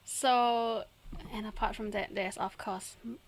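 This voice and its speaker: A woman's voice: mid-range voice, steady tone, measured pace. A young woman from Singapore, chatting casually as a podcast co-host and giggling often. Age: young adult. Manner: chatty, giggly, trails off and restarts. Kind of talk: casual chat.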